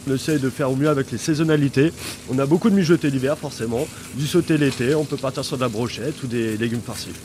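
Man, cook, calm and steady, short answers, loud rain-like background noise. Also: medium pitch, fast. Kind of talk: brief interview answers.

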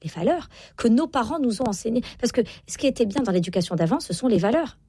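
A woman's voice: a little high, very animated, fast talker, mellow, slightly rough, dry recording. A woman in her forties, a guest on a radio talk show, speaking emphatically with many fillers and tag words. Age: 40s. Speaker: guest author on parenting. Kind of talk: radio interview.

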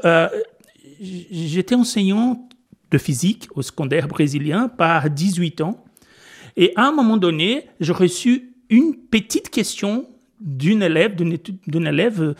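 A middle-aged Brazilian man with a Portuguese accent, speaking French as a guest in a radio interview, hesitant with many fillers and restarts. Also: high-pitched. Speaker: physics lecturer, guest.

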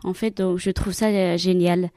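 A woman's voice: fairly low pitch, steady tone, moderate pace, warm tone, no echo, clean studio sound. A woman from Madagascar whose first language is Malagasy, a religious sister answering interview questions in a hesitant way with many fillers.

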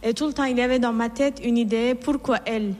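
A middle-aged nun from Iran, reverberant hall. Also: female voice, fairly high pitch, steady tone, fast talker, a little mellow. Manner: earnest, reflective storytelling with restarts. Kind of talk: personal testimony in an interview.

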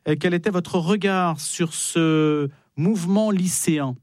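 A man's voice: sits high, unhurried, a little mellow, dry recording. Male radio host in a dry studio. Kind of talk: live radio interview and introductions.

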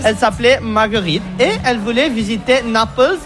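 A woman's voice: on the high side, brisk, over music. A woman guiding a food tour in French, which is not her first language, who quizzes her listener and keeps confirming with "exactement" in a pizzeria. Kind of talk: explaining to a radio reporter on a tour. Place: pizzeria restaurant indoors.

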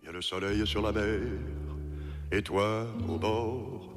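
A man's voice: lowish voice, measured pace. A man reciting poetry in a dreamy, half-sung way over guitar. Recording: reverberant music recording. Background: loud guitar accompaniment.

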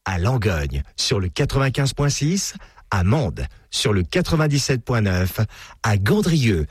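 A man's voice: fairly low pitch, big pitch swings, a little slow, husky, no echo, studio quality. A man reading a scripted radio station identification as an announcer. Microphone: dry studio microphone. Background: music.

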